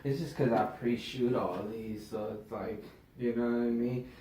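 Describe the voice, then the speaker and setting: A man's voice: medium pitch, steady tone, moderate pace, warm tone, a little hiss or hum. A young man hosting an interview show, casual and slangy, often asking "you know what I mean". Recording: roomy sound, mic at a distance.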